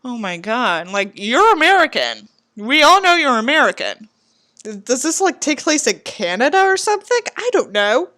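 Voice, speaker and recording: A woman's voice: high voice, sing-song, normal speed, bright, forceful tone. A woman giving a mock-dramatic reading of a book with theatrical, sarcastic delivery and candid asides. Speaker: host reading a book aloud with commentary.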